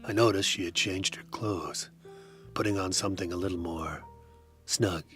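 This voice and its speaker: Low-voiced man, dry deadpan noir narration, soft music behind. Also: normal speed. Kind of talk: hardboiled detective noir narration.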